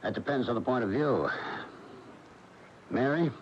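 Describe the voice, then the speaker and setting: An actor playing a tormented, jealous American husband in a radio drama, with an intense, brooding delivery. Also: male voice, medium pitch, measured pace, slight background noise, lightly acted. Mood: jealous, menacing. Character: tormented, brooding, jealous husband narrating his own story. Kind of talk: 1980 American radio drama acting and narration. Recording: dull old AM radio, loud music behind.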